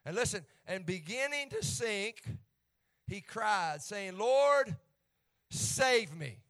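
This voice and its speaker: A man's voice: sits high, lively intonation, slow pace, fairly bright tone. A man with an Appalachian accent preaching a sermon in a folksy, joking way that rises to fervent emphasis when he reads scripture.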